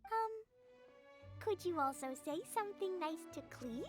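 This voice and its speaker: Tiny cheerful girl, very high, bouncy melody, sweet, game character, soft music behind. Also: expressive, measured pace. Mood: curious. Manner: speaks of self in third person. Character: cheerful innocent little girl, childish and sweet. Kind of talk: video game character acting.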